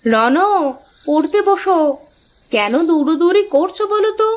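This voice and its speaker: A woman's voice: extremely high pitch, big pitch swings, normal speed, highs cut off. A woman playing a caring but strict young mother in a children's cartoon, fussing and admonishing. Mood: scolding.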